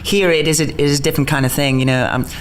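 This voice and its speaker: Fast, bubbly man with a mixed accent. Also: on the high side, fairly even intonation, smooth. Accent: mixed, mostly neutralised Geordie with American touches. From North East England. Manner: rapid, bubbly, stumbling restarts, laughs often. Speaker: interviewed stage actor. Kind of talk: casual interview chat.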